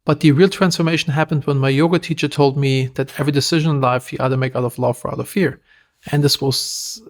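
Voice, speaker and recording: A man with a German accent, a podcast guest telling stories in a lively, self-deprecating way. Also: fairly high pitch. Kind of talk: casual interview talk.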